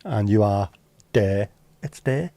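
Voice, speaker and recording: Guy hosting a chill livestream, mellow warm voice, dry close sound, jokes around and swears a bit. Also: fairly low pitch, expressive, slow, no echo. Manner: teasing, jokey banter with casual swearing. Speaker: livestream host reading chat. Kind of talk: casual chat. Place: home livestream setup.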